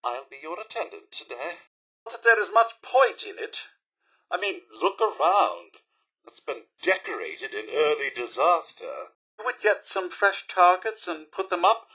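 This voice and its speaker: A man's voice: on the high side, big pitch swings, a little slow, bright, forceful tone, slightly rough, exaggerated voice, low-quality recording. A man with a British accent voicing a prim, fussy robot butler in a video game, polite and sardonic, with theatrical, formal delivery. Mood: disdainful, dry. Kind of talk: video game character voice lines.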